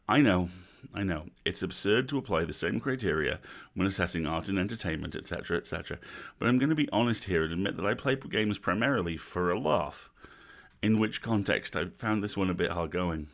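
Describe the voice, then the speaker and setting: A man's voice: low voice, fairly bright tone. A British man with a wry, dry delivery, hosting a stream and reading a written review aloud with casual asides. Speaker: host of a livestream reading series.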